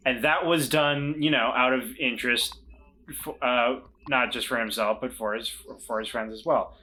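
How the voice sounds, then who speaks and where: A man chatting as a player in a tabletop game, excitable and tripping over his words. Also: mid-range voice, moderate pace, slight background noise, low-quality recording. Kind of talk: casual chat among players.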